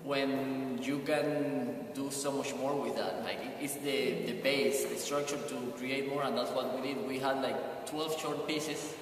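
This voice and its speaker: A man's voice: medium pitch, steady tone, slight background noise. A man from Cuba with a Cuban Spanish accent, a choreographer talking casually in an interview and often searching for words. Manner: halting, searching for words, many "like" fillers. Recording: roomy echo, far from the mic.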